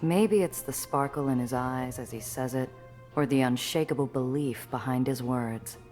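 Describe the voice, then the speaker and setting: An actress playing a tough, wry woman who narrates her own story in a game, with measured literary description and blunt asides. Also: extremely low pitch, a little mellow, faint music behind. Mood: measured, observant. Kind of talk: scripted first-person game narration.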